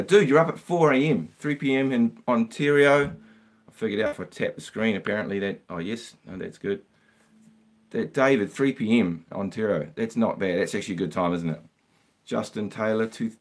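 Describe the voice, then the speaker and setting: A middle-aged man with a slightly croaky voice, hosting a casual live guitar stream and chatting with viewers as he reads out their comments. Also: fairly low pitch.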